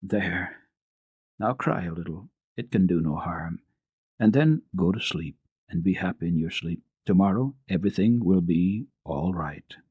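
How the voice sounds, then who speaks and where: Man as a kindly, husky storybook doctor. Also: sits low, no room sound, narrow, tinny audio. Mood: comforting. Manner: gentle, measured, reassuring questioning. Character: kindly male family doctor, calm, soothing, quietly probing.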